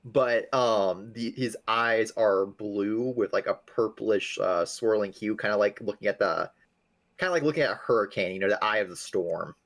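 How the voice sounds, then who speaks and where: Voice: mid-range voice, moderate pace. A man improvising character lines and descriptions in a tabletop roleplaying game, in a casual way.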